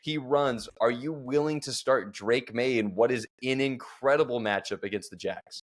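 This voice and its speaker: A man's voice: medium pitch, moderate pace. A young man leading a casual fantasy football podcast, joking with his co-host and running through the matchups with energy. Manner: joking, energetic, leads the show.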